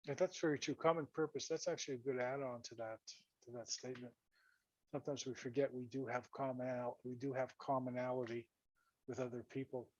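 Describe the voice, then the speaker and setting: Hoarse guy hosting a chat show over a video call, kinda husky, trips over his words a lot, muffled lo-fi sound. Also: mid-range voice, fairly even intonation, no room sound. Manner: halting, repeats and restarts words. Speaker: host. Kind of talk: casual talk-show chat reading viewer comments.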